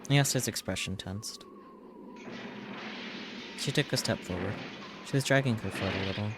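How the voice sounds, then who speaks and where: A man's voice: on the low side, moderate pace, very noisy. A man who streams games, reading visual novel text aloud as narration.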